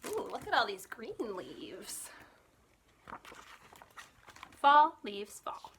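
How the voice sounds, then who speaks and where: A woman with a fairly high voice reads aloud as a children's librarian at storytime. Her intonation swings widely and expressively, and she takes her time over the phrases. She often addresses the young listeners directly with simple questions. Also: sing-song, takes it slowly, a bit bright, slight background noise. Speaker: children's librarian reading a picture book aloud.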